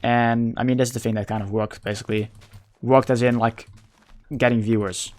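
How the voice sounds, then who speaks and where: A man whose first language is Dutch speaks English with a Dutch accent in casual livestream chat. He talks haltingly, searching for words and often restarting. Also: medium pitch, little pitch movement, a little mellow. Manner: halting, searching for words, many repeated starts.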